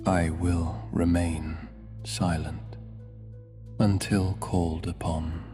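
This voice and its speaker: A man voicing a half-demon mogul, deep voice, very slow and measured, dry wit, long reverb, soft music. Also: flat delivery. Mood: wry. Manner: measured, dryly amused. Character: suave male half-demon tycoon, dry and wry.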